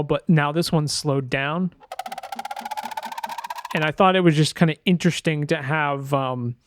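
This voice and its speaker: A man talking casually through a screen-share tutorial as a sound designer, informal and loose, thinking aloud as he goes. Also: fairly high pitch.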